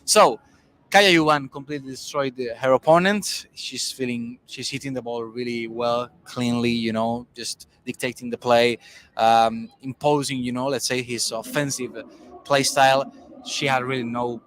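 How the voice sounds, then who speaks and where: A man's voice: mid-range voice, fairly even intonation, moderate pace, fairly bright tone, rough recording. A man, a tennis podcast co-host, talking through match results in casual conversation with many restarts and fillers.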